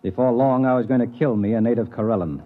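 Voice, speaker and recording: An actor in a 1950s radio drama playing a brusque, arrogant space explorer, hard-boiled and edgy. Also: male voice, medium pitch, fast talker, lightly acted. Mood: weary, edgy. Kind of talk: 1950s radio drama acting with first-person narration. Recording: narrow, tinny, noisy 1950s radio recording.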